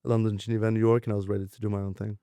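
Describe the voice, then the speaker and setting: A man in his twenties from Denmark, raised in southern France, telling his career story in a relaxed interview, with lots of fillers and restarts. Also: on the low side, very fast, clear, resonant tone, no echo. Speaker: guest, venture capitalist.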